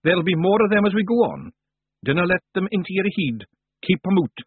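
A man's voice: sits high, very animated, brisk, breathy edge, no echo, narrow, tinny audio, voice pushed up, heavily acted. A man voicing a small, cocky, fearless Scottish clan leader in a storybook, with brash, cheerful dialect. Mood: hushed, advising.

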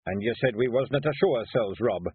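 A man's voice: mid-range voice, expressive, fairly quick, husky, no room sound. A man voicing a big, blunt Scottish fairy clansman with a plain-spoken brogue. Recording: tinny phone-like audio.

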